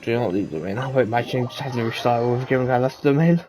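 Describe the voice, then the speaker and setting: A man's voice: mid-range voice, quick pace, darkish tone, a little hiss or hum. A man doing casual gameplay commentary, muttering to himself as he plays. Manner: muttering, talking to self. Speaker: game streamer. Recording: game music behind the voice.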